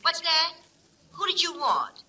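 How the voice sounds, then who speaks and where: A woman's voice: very high voice, big pitch swings, unhurried, sharp tone, exaggerated voice. An actress with an American accent playing an easily surprised, indulgent middle-aged mother in an old-time radio comedy. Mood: puzzled. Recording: vintage radio recording with faint noise.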